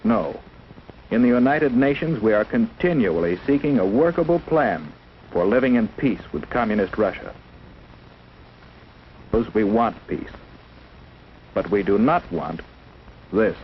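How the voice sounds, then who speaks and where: A man's voice: on the low side, expressive, unhurried, long pauses. An American man reading a scripted 1950s propaganda film narration in a solemn, emphatic, declamatory manner. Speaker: documentary narrator. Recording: muffled, noisy 1950s film soundtrack.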